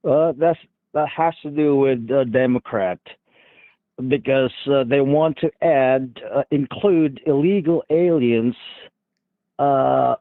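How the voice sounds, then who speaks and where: A man in his fifties calling in by phone, hesitant and halting, full of uhs. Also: mid-range voice, no echo. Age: 50s. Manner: hesitant, many uhs and restarts. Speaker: caller. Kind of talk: phone-in conversation. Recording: tinny dry phone line.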